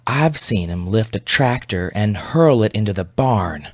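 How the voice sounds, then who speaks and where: A man's voice: fairly low pitch, animated, measured pace, dry recording. A man voicing a weary, irascible old rural woman, crude, blunt and folksy, in an audio story. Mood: blunt, irritated. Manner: folksy, ungrammatical, blunt and foul-mouthed. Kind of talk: storybook character voice.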